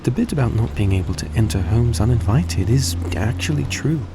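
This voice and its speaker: A man playing a courteous, gentle vampire in an intimate audio roleplay, speaking politely and a little hesitantly to the listener. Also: mid-range voice, fairly quick, lightly acted. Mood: explaining, relaxed. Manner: soft-spoken, polite, hesitant, formal phrasing. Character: courteous, gentle male vampire repaying a debt. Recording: steady background noise behind voice.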